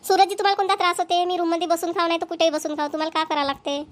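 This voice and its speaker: Woman acting a high-voiced grumbling young daughter-in-law. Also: little pitch movement, rapid-fire. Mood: defensive, irritated. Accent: Vidarbha Marathi. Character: young married daughter-in-law, weary, complaining, solitary. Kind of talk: amateur family cartoon voice acting.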